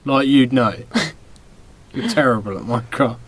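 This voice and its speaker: A man's voice: medium pitch, a little slow. A man co-hosting a podcast, joking casually and challenging his friends with teasing questions. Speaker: podcast co-host.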